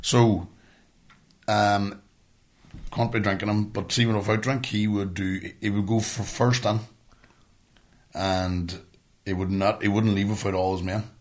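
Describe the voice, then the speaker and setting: A Northern Irish man co-hosting a podcast, telling stories in a casual, animated, sweary and rambling way. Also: lowish voice, unhurried, pauses often. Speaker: podcast co-host. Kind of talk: casual storytelling banter, sweary.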